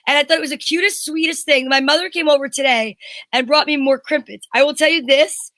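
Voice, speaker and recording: Bright, high-voiced woman chatting live. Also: steady tone, fairly quick, dry recording. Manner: chatty, enthusiastic. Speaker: co-host of a live online variety show. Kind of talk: casual live chat.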